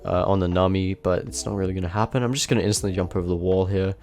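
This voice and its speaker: A man with a somewhat low voice, a little nasal from a blocked nose, talks through his own match in a loose, self-mocking way. Soft music hums faintly behind him. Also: fast talker. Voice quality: slightly nasal. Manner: self-mocking, relaxed, frequent fillers. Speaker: gameplay commentator. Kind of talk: casual voice-over commentary on his own match. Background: faint background music.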